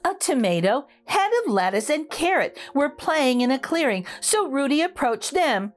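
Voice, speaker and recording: A woman reading a story aloud for young children in an expressive, emphatic way. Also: high-pitched, normal speed. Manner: animated, emphatic.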